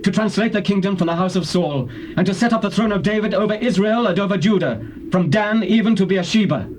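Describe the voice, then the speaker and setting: Casting: male actor for forceful biblical commander, high voice, theatrical archaic delivery, slightly noisy tape recording. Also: brisk, lightly acted. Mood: resolute. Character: Abner, forceful male army commander pledging allegiance. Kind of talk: dramatized Bible reading. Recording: noisy old cassette tape transfer.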